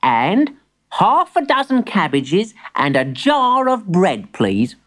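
A woman's voice: mid-range voice, wildly swooping pitch, takes it slowly, voice pushed up. A woman voicing a polite, earnest little boy in a children's story, innocent and a little hesitant.

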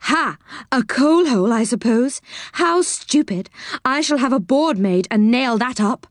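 Woman acting a cross, shrill old woman. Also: animated, a little slow, dry recording, studio quality. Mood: scornful. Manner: scornful, snappish. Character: cross, scolding old woman, haughty and fed up.

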